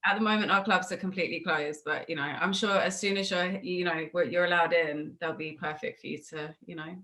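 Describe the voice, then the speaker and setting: A woman's voice: lowish voice, steady tone, brisk, bright, forceful tone. A woman with a British accent hosting a casual, encouraging interview over a video call, chatty and informal. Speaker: interviewer and host.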